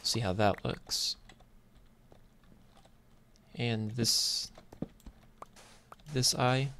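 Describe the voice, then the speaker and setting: A man's voice: medium pitch, steady tone, drawn-out words, pushed and bright. A man giving casual gameplay commentary in a laid-back, hesitant way, thinking aloud as he goes. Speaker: gaming YouTuber. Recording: noisy mic, clicks and typing.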